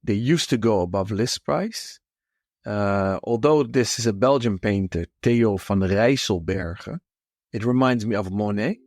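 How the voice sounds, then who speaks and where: A man co-hosting a casual podcast chat, thinking aloud in a measured way with pauses. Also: fairly low pitch, darkish tone, no room sound, studio quality. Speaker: podcast co-host.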